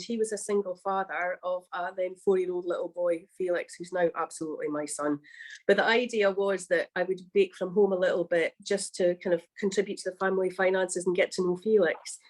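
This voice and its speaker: A woman's voice: mid-range voice, fairly even intonation, brisk. A Scottish woman, a cookbook author and baker, chatting on a video call with frequent laughs. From Scotland. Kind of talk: casual chat on a livestream.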